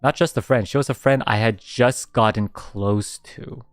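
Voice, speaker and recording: A young man streaming a casual radio-style chat, reading out listener submissions, laughing often and reacting in an animated way. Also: normal speed, no echo, studio quality, faint music behind. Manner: animated, laughs often, jumps high when excited.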